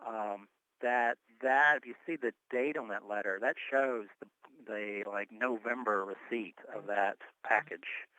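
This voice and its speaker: A man's voice: lowish voice, a little slow, pushed and bright, clear, resonant tone, no room sound, low-quality recording. A man giving an informal, step-by-step report in a conversational way, speaking on a telephone conference call. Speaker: board member reporting on tax filing. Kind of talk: informal spoken report at a meeting.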